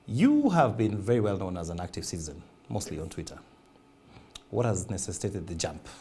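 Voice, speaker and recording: A man's voice: low-pitched, sing-song, a little fast, darkish tone, a little hiss or hum. A man hosting an interview, asking brief, plain questions. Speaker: interview host.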